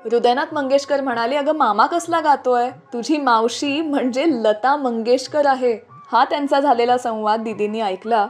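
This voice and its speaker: A woman's voice: sits high, normal speed, few pauses. A woman hosting a radio show, telling stories about music as scripted narration. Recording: music playing under the voice. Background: background music.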